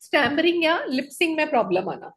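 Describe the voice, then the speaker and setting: A woman's voice: high-pitched, very animated, brisk, fairly bright tone. A woman teaching an online color therapy class, listing one item after another and checking in with her students.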